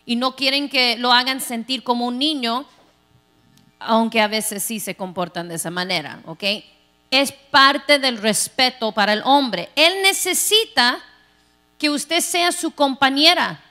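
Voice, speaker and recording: A middle-aged woman pastor from Texas preaching a sermon on a large church stage into a handheld mic, animated and playful, acting out quoted voices. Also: high voice, a little slow. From Texas, United States. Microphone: handheld microphone.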